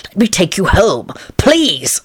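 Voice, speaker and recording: A woman voicing a polite, apologetic man in a storybook, in a softer, lower version of her own voice. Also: very animated, takes it slowly, slightly rough, full character voice.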